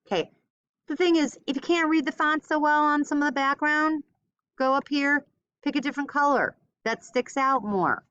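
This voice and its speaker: A woman's voice: high voice, normal speed, a bit bright, no room sound. Woman giving a casual tutorial, dull lo-fi sound. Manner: informal, thinks aloud while demonstrating. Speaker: teacher giving a software tutorial. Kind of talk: casual screen-recorded step-by-step tutorial.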